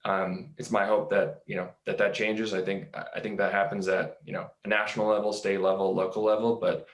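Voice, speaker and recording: A man giving unscripted panel comments on a video call, earnest and halting as he thinks aloud, in an echoey room. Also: sits low, little pitch movement. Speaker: panelist, startup educator.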